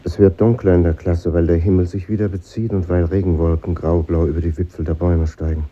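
An actor playing a brooding, introspective artist in a literary, deliberate manner, in 1950s radio drama style. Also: male voice, low voice, flat delivery, mellow. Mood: wistful, pensive. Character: brooding male artist looking back on his schooldays. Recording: muffled, hissy old radio recording.

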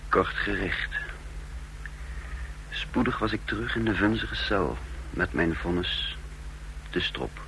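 Brooding doomed-prisoner narrator for radio drama: halting, gravelly, unhurried, dazed, on an old humming recording. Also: male voice, sits low, bright, forceful tone, a little hiss or hum, exaggerated voice, rough recording. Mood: bleak, dazed. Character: dazed, brooding condemned prisoner telling his own story. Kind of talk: dramatic first-person radio play narration.

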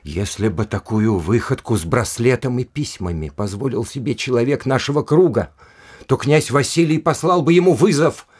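An indignant, domineering aristocrat in an audio drama. His mid-pitched voice swings widely as he lays down the law. The delivery is emphatic and full of haughty scorn. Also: expressive, normal speed, darkish tone, breathy edge. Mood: imperious. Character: indignant, haughty aristocratic brother, an imperious official. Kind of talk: Russian audio drama acting.

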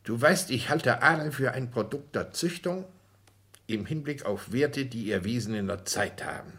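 A proud genteel count in an old german radio drama, polished formal talk, medium pitched voice. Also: male voice, lively intonation, normal speed, a bit bright. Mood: troubled, reflective. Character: genteel aristocratic count and father, courteous, proud, measured.

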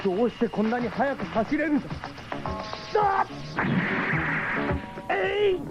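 An actor playing a bewildered, earnest young orphan turned cyborg hero, in dramatic 1970s Japanese radio drama style. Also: male voice, extremely high pitch, big pitch swings, measured pace, exaggerated voice. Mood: alarmed, bewildered. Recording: dull old radio tape, loud music.

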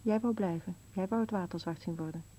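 A woman's voice: on the low side, brisk, dark tone, slight background noise, natural voice, poor audio. A woman playing an anxious, querulous old wife in an absurdist radio play, asking short, insistent questions, often half-whispered. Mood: frightened, pleading.

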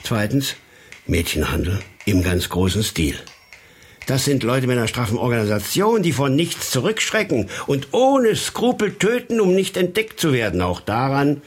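An actor playing a sober, cautioning police detective in a radio crime drama, methodical and authoritative. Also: male voice, mid-range voice, very animated, normal speed, slightly rough. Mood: analytical. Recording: music behind the voice.

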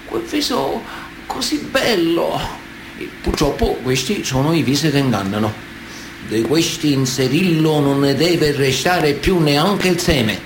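An actor playing a wily, indignant, semi-literate Sicilian mafioso with a Sicilian accent, pleading emphatically to a judge in a theatrical radio monologue with drawn-out pauses. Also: male voice, on the high side, animated, background noise. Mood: insinuating, menacing. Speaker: stage actor.

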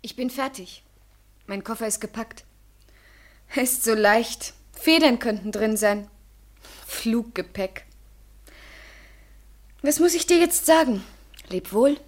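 An actress playing a tender, fragile woman parting from her lover in an intimate German radio play, hushed and restrained. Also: fairly high pitch, takes it slowly, pauses often. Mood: brave, wistful. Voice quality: breathy, soft.

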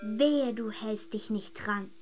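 A woman playing a schoolgirl big sister in a German radio drama, bossy, secretive, brisk and childlike. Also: mid-range voice, a little mellow, faint music behind, close to an everyday voice. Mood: secretive, insistent.

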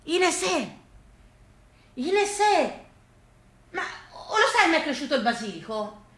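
Female stage storyteller, Tuscan accent, bright voice in a roomy echo, emphatic rustic comic delivery. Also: high-pitched, very animated, takes it slowly. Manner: exclamatory, emphatic, colloquial. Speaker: theatre storyteller performing a solo piece. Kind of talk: theatrical comic monologue in rustic dialect, addressing an unseen neighbour.

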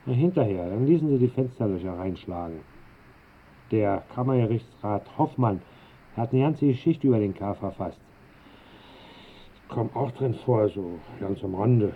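An actor playing an old, restless, eccentric master bricklayer who grumbles and rambles in a radio drama. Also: male voice, on the low side, animated, normal speed, a little hiss or hum. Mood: grumbling, restless. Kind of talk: 1960s German radio drama acting. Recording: muffled band-limited old radio recording.

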